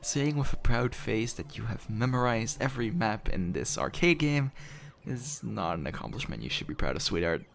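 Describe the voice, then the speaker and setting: Man acting deep-voiced teasing young guy. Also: animated, creaky. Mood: playful teasing. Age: young adult. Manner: teasing, playful, halting and mock-polite. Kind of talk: intimate audio roleplay, casual conversational acting.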